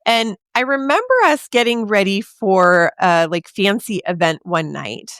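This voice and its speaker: Chatty woman podcast host, slightly raspy. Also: fairly low pitch, a bit bright, no room sound, clean studio sound. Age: 40s. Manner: chatty and encouraging, speaks directly to the listener. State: slightly raspy from a sore throat. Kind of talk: casual podcast monologue.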